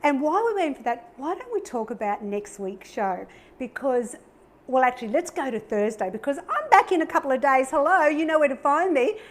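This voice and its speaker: A woman's voice: high voice, very animated, a little fast. A middle-aged Australian woman hosting a cooking show, chatting in a bubbly, upbeat way while she demonstrates a recipe.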